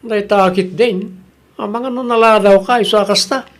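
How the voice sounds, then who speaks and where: A man's voice: high-pitched, fairly even intonation, normal speed, lightly acted. A man acting in an Ilocano comedy radio drama as a nagging, reproachful relative who lectures on punctuality, with an Ilocano accent.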